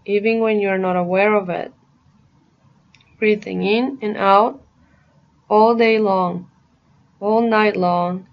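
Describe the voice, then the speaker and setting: Woman doing guided meditation, really slow and soothing, lots of pauses, kinda muffled lo-fi sound. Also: mid-range voice, gravelly. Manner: soothing, deliberate, pausing between short phrases.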